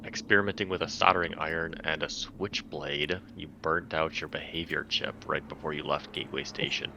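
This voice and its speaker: A man's voice: extremely low pitch, fairly even intonation, fairly quick, vocal fry, lo-fi sound. A man taking part in a tabletop roleplaying game, talking casually and reading aloud to the group. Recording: noisy home microphone recording.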